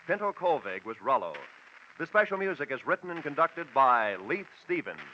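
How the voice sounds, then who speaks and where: A man's voice: fairly high pitch, big pitch swings, normal speed, slight background noise. An American man doing polished, emphatic scripted announcing in the style of 1940s network radio. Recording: muffled vintage radio recording.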